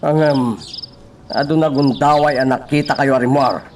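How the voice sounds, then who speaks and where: A man's voice: mid-range voice, fairly even intonation, moderate pace, recorded from a distance, close to an everyday voice. A man acting a defensive, insistent office co-worker in a radio drama. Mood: confronting. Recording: off-mic, noisy with insect-like sounds.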